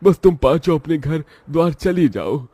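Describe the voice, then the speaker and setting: A man acts out a poor old village father in a cartoon story. He is earnest and humble, with a soft, mellow voice pitched above the narrator's, recorded close and dry. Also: lively intonation, normal speed, slightly rough, no room sound. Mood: worried, resigned. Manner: pleading, earnest.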